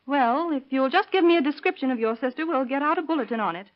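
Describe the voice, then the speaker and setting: A woman's voice: high voice, fast, lightly acted. An actress playing a polite, matter-of-fact woman clerk at a police bureau in 1930s radio drama style. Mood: polite, businesslike. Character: polite, businesslike female missing-persons bureau clerk. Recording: dull vintage radio drama recording.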